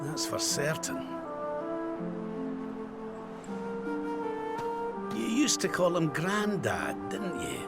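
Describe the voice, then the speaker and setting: Brief: cheeky old Scottish grandfather, lively swooping delivery, gravel in the voice, music underneath. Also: sits high, measured pace, heavily acted. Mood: tender. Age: older. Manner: animated, folksy, affectionate. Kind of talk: video game voice acting.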